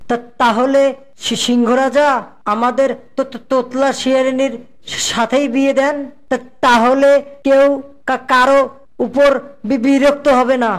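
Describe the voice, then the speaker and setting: A woman's voice: high-pitched, level tone, measured pace. A woman voicing an eager, scheming male fox sidekick in a cartoon, with a heavy stutter. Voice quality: heavy stutter, repeating syllables.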